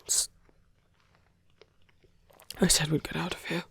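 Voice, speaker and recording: A performer voicing a wounded, protective villain in an intimate ASMR roleplay drama, murmuring haltingly in a breathy, husky half-whisper. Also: sharp tone. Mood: exasperated. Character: wounded villain, gruffly protective, teasing, tender toward a hero.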